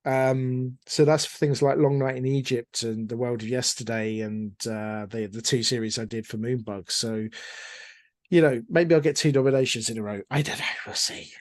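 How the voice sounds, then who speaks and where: Man, middle-aged, mid-pitched voice, casual interview talk, rambling and self-effacing, dry recording. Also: steady tone, moderate pace, a little mellow, no echo. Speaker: interview guest, audio drama producer.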